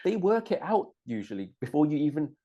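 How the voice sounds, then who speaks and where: A middle-aged man, born in Hong Kong, chatting casually, hesitant with lots of ums and restarts and quick to laugh. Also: fairly high pitch, big pitch swings, mellow, highs cut off. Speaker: co-host. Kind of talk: casual chat.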